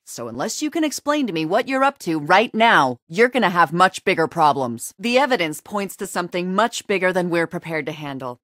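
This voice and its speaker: A low-pitched, stern female detective from a video game drama. She is firm and probing, with a dry sarcastic edge. The recording is close and dry. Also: dry recording. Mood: pressing, impatient. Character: stern, persistent female police detective interrogating a suspect.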